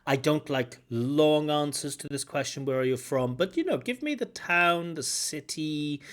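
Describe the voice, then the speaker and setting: A man from Wales, an English examiner, giving feedback on a speaking test and explaining points with examples and gentle corrections. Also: a little high, animated, measured pace. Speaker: IELTS examiner and teacher. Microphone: video call.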